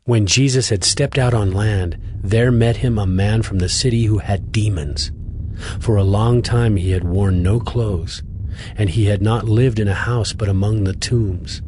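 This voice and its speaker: A man narrating a dramatized scripture reading in a steady, even storytelling voice. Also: lowish voice, a little slow, slight background noise. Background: music.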